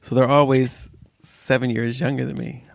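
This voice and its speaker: A man's voice: medium pitch, little pitch movement, moderate pace, gravelly, no room sound. A middle-aged man co-hosting a podcast, joking and opinionated in casual banter. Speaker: podcast co-host.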